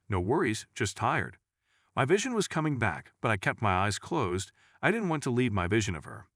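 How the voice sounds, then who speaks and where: A male storyteller narrates a scripted first-person account. His voice sits fairly low in pitch. The recording is dry, with no audible room around the voice. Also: fairly bright tone, no echo.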